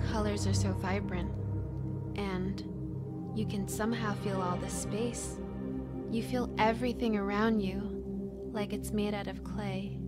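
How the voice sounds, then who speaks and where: A woman's voice: mid-range voice, natural voice. An actress playing a dreamy, earnest, newly awakened female angel in a sci-fi visual novel. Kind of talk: scripted visual novel voice acting.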